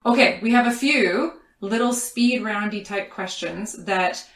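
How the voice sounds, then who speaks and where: A woman's voice: mid-range voice, measured pace. A woman hosting a podcast in casual, chatty, informal conversation. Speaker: podcast host. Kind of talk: casual chat. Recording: echoey room.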